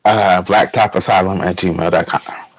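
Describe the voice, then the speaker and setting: A man's voice: deep voice, fairly even intonation, normal speed. A man co-hosting a podcast, talking in a casual, chatty style. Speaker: podcast co-host. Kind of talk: casual chat.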